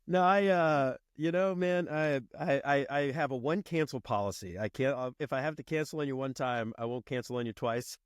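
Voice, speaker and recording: A man's voice: mid-range voice, moderate pace, hardly any pauses, clean tone, no room sound, studio quality. A man with a cold and a congested voice, talking casually and loosely as a podcast guest. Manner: relaxed, rambling, frequent fillers and laughs. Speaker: podcast guest, podcast host himself. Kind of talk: casual conversation.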